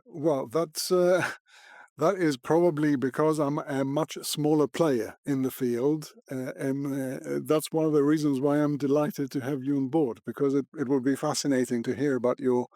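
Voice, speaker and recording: A man's voice: medium pitch, fairly even intonation, moderate pace, mellow, no room sound, clean studio sound. A man, hosting a podcast interview and speaking hesitantly with many fillers. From Greece. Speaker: podcast host. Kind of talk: conversational interview.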